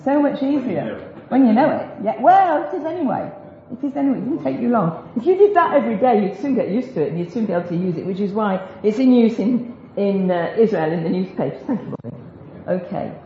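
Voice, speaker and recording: A woman teaches a group in a relaxed, chatty way, tossing in self-deprecating jokes as she goes. The recording is roomy and echoey, with a dull, lo-fi sound and a faint hiss underneath. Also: mid-range voice, a little fast. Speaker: speaker teaching a group about biblical Hebrew. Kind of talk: informal Bible teaching talk.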